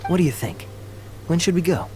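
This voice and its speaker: A man's voice: fairly high pitch, very animated, brisk, dark tone, a little hiss or hum. A man voicing a suave, easygoing young knight with a sly, playful and casual manner, in video game style.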